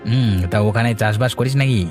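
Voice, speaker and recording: A man's voice: fairly low pitch, moderate pace, darkish tone, faint music behind, close to an everyday voice. A man playing a greedy, scheming village uncle in a cartoon, coaxing slyly with hesitations and tag questions. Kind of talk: cartoon voice acting.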